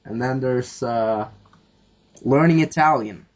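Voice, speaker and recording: A man's voice: medium pitch, takes it slowly. A man hosting a casual podcast chat, rambling along with plenty of fillers and casual swearing. His voice sits in an ordinary middle range, and the recording sounds a little dull and lo-fi. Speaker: podcast host.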